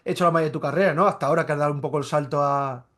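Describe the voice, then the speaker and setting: A man's voice: fairly high pitch, fairly bright tone. A man interviewing an actor, asking rambling questions full of restarts and repeated words. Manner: rapid, stumbling questions with many restarts and fillers. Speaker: interviewer, journalist. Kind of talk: interview conversation.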